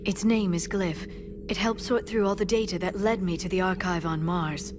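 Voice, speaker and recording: A woman playing a composed, earnest alien scholar and information broker in a video game, speaking in a measured, articulate way. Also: on the low side, fairly even intonation, music in the background, close to an everyday voice. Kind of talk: video game character dialogue. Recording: clear ambient noise behind game dialogue.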